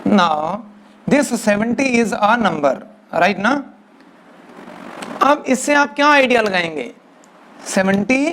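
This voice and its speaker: A male physics teacher giving a lecture, mixing English into Hindi, dictating definitions slowly word by word and often checking with "right na". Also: high-pitched, a bit bright, a little hiss or hum. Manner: mixes English into Hindi, keeps asking "right na". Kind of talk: classroom lecture.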